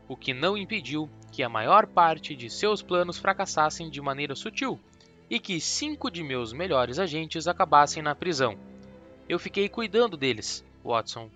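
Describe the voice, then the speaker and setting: A man playing a calm, ironic, self-assured detective in an audiobook. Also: on the high side. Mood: explaining. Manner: dry, mocking, self-assured.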